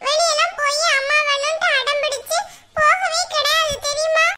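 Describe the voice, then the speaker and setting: A woman plays an eager, chatty little girl in a family drama. The voice sits very high and sounds bright and sharp. She talks in a tattling, breathless way. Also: steady tone, normal speed, full character voice.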